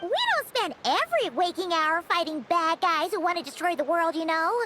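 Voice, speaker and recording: A woman voicing a cheeky, childlike little fairy companion in a video game, with a squeaky, chirpy way of talking. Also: expressive, moderate pace, slight background noise, heavily acted. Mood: matter-of-fact. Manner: chirpy, speaks of self in third person. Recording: loud game music underneath.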